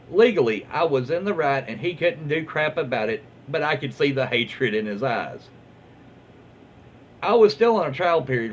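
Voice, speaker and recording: A man with a Southern US accent reading stories aloud in a casual, folksy, informal way as a host. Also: mid-range voice. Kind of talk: casual read-aloud storytelling. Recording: muffled, lo-fi with faint background noise.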